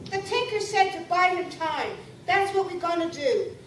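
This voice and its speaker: A woman's voice: extremely high pitch, lively intonation, moderate pace, a bit bright. An actress playing a defiant young farm woman in a live radio play, blunt with a folksy way of talking. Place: convention hall. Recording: echoey hall, from far off, noisy.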